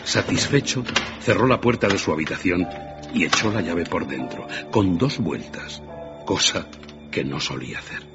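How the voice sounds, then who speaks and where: A man's voice: deep voice, expressive, normal speed, muffled recording. A man narrating a scripted radio drama as a dramatic storyteller. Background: music.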